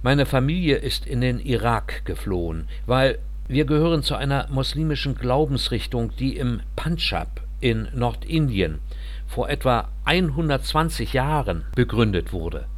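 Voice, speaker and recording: A man reading the part of a reserved thirty-one-year-old refugee engineer, telling his story quietly and earnestly. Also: mid-range voice, lively intonation, a little slow, natural voice.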